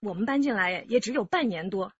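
An actress playing a bold, chatty wife in a radio drama, with a breezy, coaxing manner. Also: female voice, medium pitch, expressive, brisk, breathy edge, dry recording, dull audio. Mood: welcoming. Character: bold, chatty wife selling the couple's flat.

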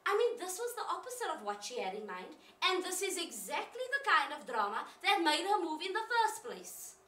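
A woman acts out a hot-tempered, rueful sister in a confessional stage monologue. Her voice is bright and swoops widely as she questions herself in everyday, emphatic words. It is heard from a distance in a roomy space. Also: extremely high pitch, big pitch swings, normal speed, voice pushed up, heavily acted. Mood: agitated, defensive. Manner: colloquial, emphatic, self-questioning. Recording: roomy, distant mic, faint noise.

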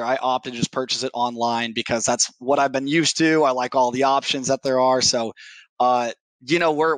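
A man's voice: mid-range voice, no room sound, poor audio. Dry, close-sounding young man in interview. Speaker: technical sales executive, podcast guest. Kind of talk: business interview answers. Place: home living room, indoors, on a video call. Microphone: headset mic.

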